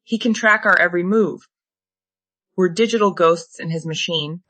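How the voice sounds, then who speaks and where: A determined tech-savvy fugitive played by a woman, anxious yet resolute, in a screenplay read. Her voice is slightly low, bright and forceful, recorded dry and close. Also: normal speed, no echo. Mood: worried. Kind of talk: screenplay table read, synthetic voice.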